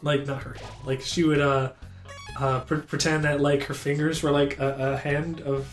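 A man's voice: on the high side, little pitch movement, normal speed. Joking young man in a roomy room. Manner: rambling, joking, reads dialogue aloud. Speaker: let's play commentator. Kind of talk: casual game commentary. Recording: roomy with game music behind.

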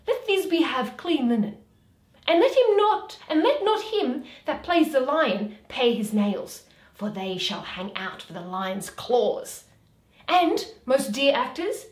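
A woman's voice: high voice, pitched above the performer's own voice, exaggerated voice. A woman performing a boisterous, self-important male tradesman in a Shakespeare comedy, declaiming grandly and emphatically. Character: boisterous, self-important Athenian tradesman amateur actor, Bottom. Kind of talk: Shakespeare read aloud as solo performance. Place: small room at home, indoors. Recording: roomy home recording.

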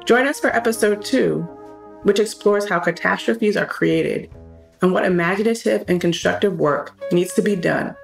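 A woman's voice: mid-range voice, normal speed, gravelly. A woman hosting a discussion series, reading scripted credits and announcements. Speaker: host and professor. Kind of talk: scripted podcast outro narration. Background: music.

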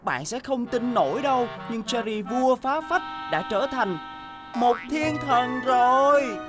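A woman voicing a chatty, curious young talking vehicle in a dubbed children's cartoon, expressive. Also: a little high, measured pace. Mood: amazed, gossipy. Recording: loud music behind the voice.

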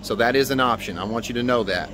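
An American man in his thirties speaks in a mid-pitched voice, with noticeable background noise around him. He explains trade basics in an upbeat, informal way, like a technician filming himself on a phone. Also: quick pace. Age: 30s. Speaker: HVAC technician and video host. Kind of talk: informal instructional vlog to camera. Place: outdoors by a building and in utility spaces. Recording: clear background noise, outdoors and utility room.

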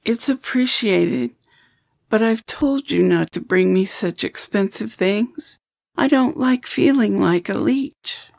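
Woman acting a slow, creaky, grumbling old woman. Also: medium pitch, darkish tone, no room sound. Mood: dryly teasing. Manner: grumbling, blunt. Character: gruff, fond old woman, self-deprecating and blunt. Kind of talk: audio drama acting.

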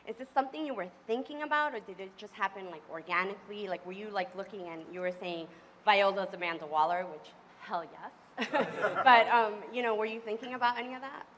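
Bright-voiced woman asking from the crowd. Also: medium pitch, a little fast, a little hiss or hum. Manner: eager, rambling, with likes. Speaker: audience member asking a question. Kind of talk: audience question.

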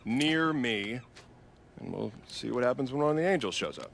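A man's voice: mid-range voice, lively intonation, moderate pace, vocal fry, fairly bright tone, a little hiss or hum. An actor playing a wry, uptight policeman and older brother in a video game, with an American accent. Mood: nervous, eager.

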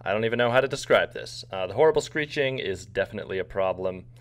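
Casual male screen-recording narrator, a video editor with a lowish voice, explaining things plainly. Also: expressive, fast.

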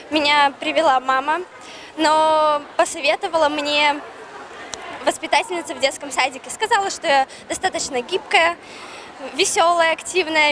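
A woman's voice: sits high, a bit bright. A young woman gymnast answering an interviewer in a sports hall. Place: large indoor sports hall. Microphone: handheld reporter microphone. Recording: busy sports hall noise.

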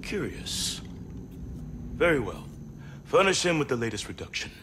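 A man's voice: medium pitch, very animated, normal speed, bright, forceful tone. A man playing a stern, pragmatic senior monk in a video game, solemn and commanding with formal speech. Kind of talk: video game character dialogue. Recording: noisy room ambience.

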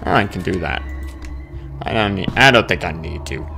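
Male gaming commentator, very animated pitch, casual muttered asides with sudden exclamations, music behind. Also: normal speed, creaky. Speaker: gaming video host. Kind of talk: casual let's-play commentary. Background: game music and sound effects.

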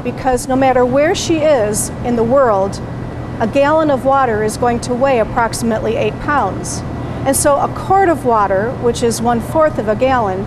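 High-voiced woman teaching in a noisy store. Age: middle-aged. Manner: clear, patient, teacherly. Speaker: parent educator. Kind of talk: instructional presentation to camera. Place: grocery store produce section, indoors.

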